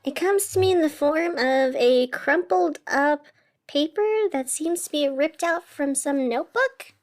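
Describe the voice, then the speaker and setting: A woman acts as a female radio host in a scripted audio drama. Her voice is high and she takes her time, with theatrical pauses over a soft bed of music. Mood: frazzled, wry. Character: sleepless, over-caffeinated female radio host in strange city. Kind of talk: scripted radio fiction narration.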